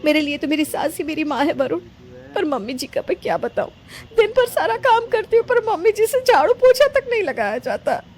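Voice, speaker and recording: A woman voicing a scheming, sharp-tongued young daughter-in-law who complains and manipulates in a story drama. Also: very high voice, sing-song, fairly quick, voice pushed up. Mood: resentful.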